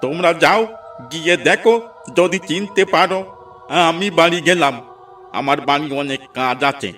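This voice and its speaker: A man's voice: on the high side, normal speed, sharp tone. A man voicing a blunt, grumbling older village man in a cartoon. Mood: brusque. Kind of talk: cartoon voice acting. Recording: slightly distant, music behind.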